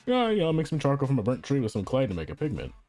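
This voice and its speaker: A man voicing an eager, playful schoolgirl artist from a game story, pitching his voice up. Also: wildly swooping pitch, rapid-fire, dark tone, faint music behind, heavily acted.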